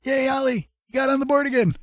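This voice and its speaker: A man's voice: very high voice, fairly even intonation, quick pace, no echo, studio quality. A man hosting a casual livestream, commenting on a game in an encouraging, jokey manner. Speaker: livestream host.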